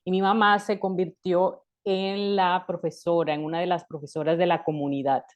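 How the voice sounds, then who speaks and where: A woman in her thirties from Colombia, a guest in an interview, talking in a reflective way with restarts and soft laughs. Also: lowish voice, level tone, moderate pace, muffled recording. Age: 30s. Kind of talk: conversational interview.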